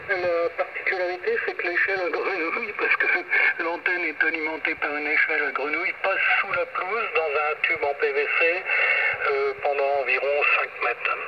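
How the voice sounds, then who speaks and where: Older guy rambling on ham radio, muffled signal, loud static all over it. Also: a little high. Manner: rambling, self-correcting, chatty. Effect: shortwave radio transmission. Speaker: amateur radio operator. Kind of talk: casual ham radio chat. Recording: muffled, very noisy shortwave radio reception. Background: loud radio noise.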